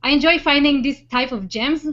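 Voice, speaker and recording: A woman's voice: high-pitched, normal speed, sharp tone. A woman hosting an online interview show, speaking in an enthusiastic presenting style over a video call. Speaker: host of a web show. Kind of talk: enthusiastic hosted introduction and interview. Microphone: online video hangout call. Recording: dull, lo-fi web call audio.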